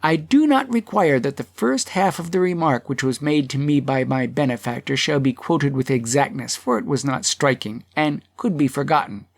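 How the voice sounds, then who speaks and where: A narrator voicing a grave, formal male chairman of a town meeting in an audiobook, speaking in measured declamation. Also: medium pitch, voice pushed up, lightly acted. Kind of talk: acted audiobook dialogue.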